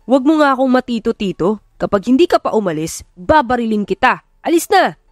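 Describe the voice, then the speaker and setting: A woman's voice: fairly high pitch, very animated, a little fast, dry recording, studio quality, voice pushed up. A woman voicing a stern, protective middle-aged father, blunt and commanding, in an audiobook romance drama. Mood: furious, threatening.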